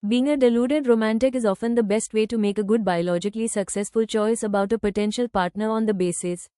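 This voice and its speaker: A woman with a smooth, clear and mellow voice reads a scripted passage aloud. The sound is dry and echo-free. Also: fairly high pitch, fairly even intonation, fast talker. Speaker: narrator of practice passages.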